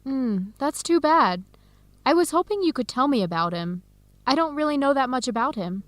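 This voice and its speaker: Friendly curious student researcher, high bouncy voice, chatty, radio drama. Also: female voice, expressive, normal speed, no room sound. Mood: chatty, confiding. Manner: chatty, inquisitive. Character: friendly, curious, earnest young woman researcher. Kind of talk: educational radio drama acting.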